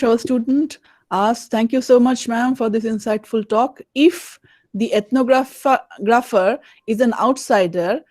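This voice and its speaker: A woman's voice: a little high, a little mellow, no room sound. A woman moderating an online Q&A, reading out student questions with some hesitation. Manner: hesitant, many fillers.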